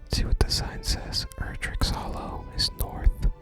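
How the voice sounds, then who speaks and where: A person whispering soft, unhurried ASMR commentary while playing a video game and reading out its text. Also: soft background music.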